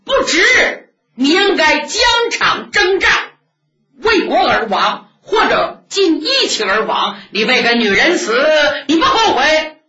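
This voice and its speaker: Woman storyteller as scornful, shouting man, bright, swooping, lo-fi. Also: high voice, very animated, slow pace, echoey room, voice pushed up, exaggerated voice. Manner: scoffing, shouting. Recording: dull lo-fi recording.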